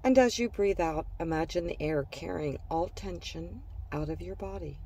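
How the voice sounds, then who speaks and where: A woman narrating a scripted guided relaxation for children in a gentle, soothing manner, leaving pauses between phrases. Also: fairly low pitch, distant mic, slight background noise.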